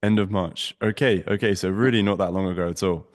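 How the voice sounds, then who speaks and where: Upbeat male host with a fairly low voice, long reverb around him. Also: fairly even intonation, fairly quick. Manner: upbeat, promotional, long-winded questions. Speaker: host, agency mentor. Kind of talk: casual interview.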